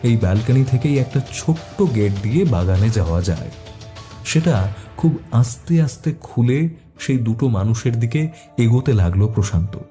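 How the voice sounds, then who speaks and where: A man's voice: medium pitch, animated, normal speed, warm tone, soft background music. A man reading a scripted detective story as narrator, also speaking the detective's questions.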